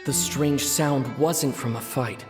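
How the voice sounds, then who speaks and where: A composed young woman investigator in a game, with a low voice and a thoughtful, deliberate delivery over clear background music. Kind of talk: video game voice acting.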